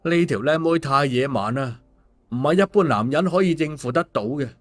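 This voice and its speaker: A man's voice: fairly high pitch, animated, normal speed. A man narrating an audiobook in a colloquial storytelling style. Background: background music.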